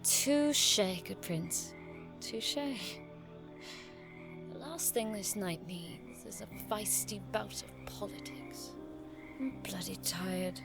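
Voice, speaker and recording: A woman's voice: medium pitch, takes it slowly, creaky, music in the background. A woman acting in an audio drama as a witty young noblewoman knight with an arch, teasing, theatrical manner. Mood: playful mockery.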